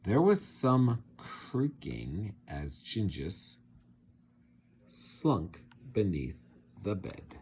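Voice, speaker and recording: A man reading a children's storybook aloud as a teacher, with dramatic and deliberate phrasing. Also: fairly low pitch, sing-song, a little mellow, a little hiss or hum. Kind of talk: expressive children's storybook narration.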